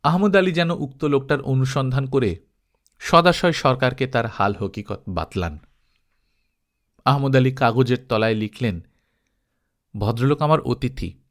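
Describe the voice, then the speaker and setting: A man reading a literary travelogue aloud as an expressive, dramatized audiobook storyteller. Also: medium pitch, measured pace, pauses often. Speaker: audiobook narrator.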